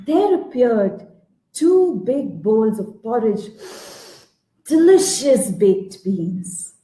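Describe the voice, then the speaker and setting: A woman's voice: on the high side, very animated, very slow, warm tone, a little hiss or hum. A woman telling a folktale live in a theatrical way, repeating phrases for effect and checking in with her listeners. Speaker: storyteller. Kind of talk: live interactive folktale storytelling with songs and actions. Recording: roomy, echoey live-stream sound.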